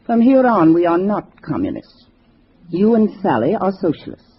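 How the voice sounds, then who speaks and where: A woman's voice: lowish voice, very animated, moderate pace. An older woman organizer lays down orders in an old radio spy drama. She is precise, cold-bloodedly calculating and sure of herself. The recording is muffled and faintly hissy. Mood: commanding. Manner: precise, didactic, assured. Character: gray-haired female communist organizer, sweet-faced, calculating, commanding. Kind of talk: 1950s radio drama acting.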